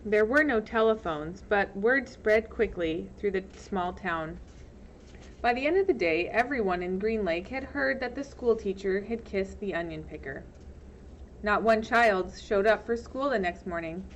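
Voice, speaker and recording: Slow, measured woman reading a story aloud. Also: medium pitch, lively intonation, a little hiss or hum, low-quality recording. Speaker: audiobook reader. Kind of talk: scripted storybook narration.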